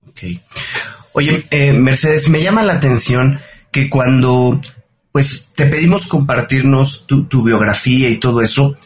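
A man co-hosting a business podcast, reading a guest's introduction in a measured way and asking questions. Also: mid-range voice. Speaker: podcast co-host. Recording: faint music behind.

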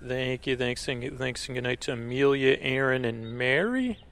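A man with a creaky voice hosting a podcast, speaking casually in a low-key, halting, meandering way to his listeners. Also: fairly bright tone. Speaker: podcast host. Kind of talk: casual, rambling thanks and sign-off to listeners.

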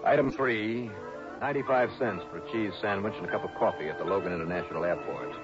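A man's voice: fairly low pitch, fast, over loud music, natural voice. An actor playing a hard-boiled male insurance investigator who narrates his own case in a dry, wry, clipped way, in a 1960s radio drama. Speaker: narrator and lead. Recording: dull lo-fi 1960s radio broadcast.

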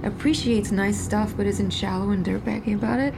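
An actress plays a chatty, impulsive woman outlaw in an audio drama. She has a medium-pitched, brash delivery with clear vehicle noise around her. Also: brisk, lightly acted. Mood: flippant, flirty. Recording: noisy car and roadside scene.

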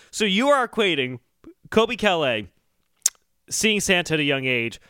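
Bright, high-voiced man, closely recorded. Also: very animated, a little slow, clean tone, no room sound, studio quality. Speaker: podcast co-host. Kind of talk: casual comedic discussion.

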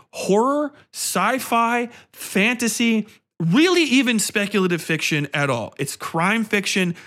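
A man's voice: a little high, fairly bright tone. A man hosting a podcast, ranting casually in a sardonic, exasperated, heavily profane way. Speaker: podcast host. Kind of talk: casual opinionated rant.

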